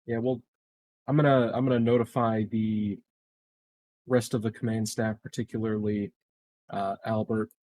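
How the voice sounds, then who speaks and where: A man's voice: on the low side, level tone, moderate pace, long pauses, warm tone, slight background noise. A man playing a tabletop game, chatting casually and thinking aloud a little hesitantly.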